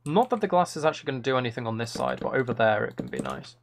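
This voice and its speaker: A man's voice: mid-range voice, expressive, rapid-fire, vocal fry, a little hiss or hum. A man chatting casually on a live game stream, musing and thinking aloud as he plays. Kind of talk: casual live stream chat while playing.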